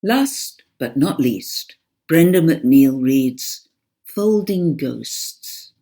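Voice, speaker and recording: A woman hosting a radio programme, reading scripted introductions in a measured, deliberate way. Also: low voice, expressive, dark tone, breathy edge. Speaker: host, writing course facilitator.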